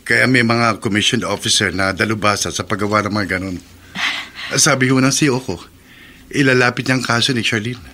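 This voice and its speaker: A man's voice: mid-range voice, a little fast, pushed and bright. An actor in a Filipino radio drama playing a respectful soldier who cares for his family. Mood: earnest, caring. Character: caring soldier, respectful son and protective brother.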